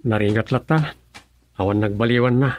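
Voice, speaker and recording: Male actor as young farmer, humble, patient, earnest, plain-spoken, medium-pitched, radio drama. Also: moderate pace, close to an everyday voice. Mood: modest. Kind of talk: Ilocano radio drama acting.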